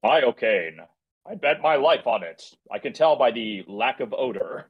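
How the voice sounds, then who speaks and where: A man's voice: medium pitch, expressive, unhurried, slightly rough, phone-quality sound. A man playing a smug, pompous prince with coolly threatening wit, in a live script read. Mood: certain. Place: indoors, on a group video call.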